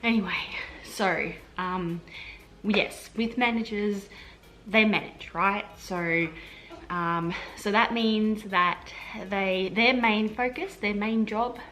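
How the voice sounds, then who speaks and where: A woman's voice: medium pitch, fairly even intonation, takes it slowly, fairly bright tone, a little hiss or hum, rough recording. An Australian woman, a hospital admin officer, chatting casually to camera in a rambling way with lots of fillers and restarts. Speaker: health administration officer and vlogger. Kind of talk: casual vlog explaining to camera.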